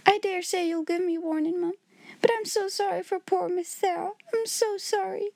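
A woman's voice: extremely high pitch, moderate pace, darkish tone, no echo, pitched above the performer's own voice. A woman voicing a timid young scullery maid girl who pleads through sobs and drops letters as she talks. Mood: sobbing.

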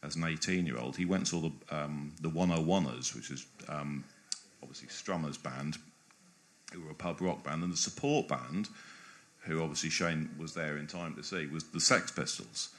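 A man's voice: extremely low pitch, moderate pace, fairly bright tone. A man speaking as a guest biographer in an interview, giving long discursive answers that often stop and restart mid-phrase.

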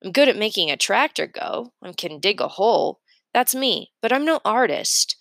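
An earnest young boy from a storybook, acted out by a woman reading aloud. The voice is lifted high and emphatic, eager and plain-spoken. It sounds close and dry. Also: sing-song, normal speed, fairly bright tone, no room sound. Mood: earnest, unsure. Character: earnest, excitable boy, self-doubting young artist. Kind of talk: storybook character dialogue.

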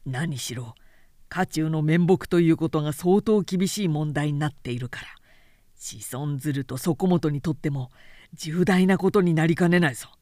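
A woman's voice: sits low, normal speed, a little mellow, no echo, clean studio sound, close to an everyday voice. A woman voicing a worried, cautious samurai official in an audiobook, grave, formal and concerned. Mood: anxious warning. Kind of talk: dramatic audiobook character reading.

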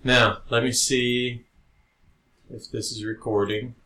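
A man's voice: mid-range voice, little pitch movement, slow pace, pushed and bright. A man co-hosting a podcast in casual conversation. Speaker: podcast co-host. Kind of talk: casual chat. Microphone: podcast recording with headphones. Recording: roomy echo, noticeable background noise.

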